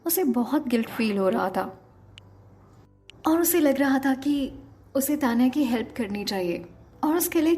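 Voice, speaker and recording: A woman's voice: a little high, a little mellow. A woman narrating an emotional audio story as a storyteller. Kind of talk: dramatic story narration with acted dialogue.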